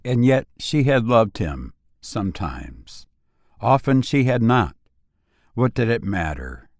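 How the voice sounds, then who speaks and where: A man narrating a literary story from a script, with measured, dramatic phrasing. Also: mid-range voice, very animated, dry recording, clean studio sound. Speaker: audiobook narrator.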